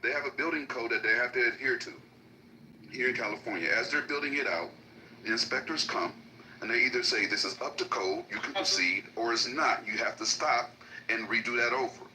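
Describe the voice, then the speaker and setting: A man's voice: mid-range voice, fairly quick, pushed and bright, slight background noise, rough recording. A man on a live audio call firmly explaining and pushing back in an argument. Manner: firm, explaining, stammers name. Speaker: caller. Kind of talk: heated argument.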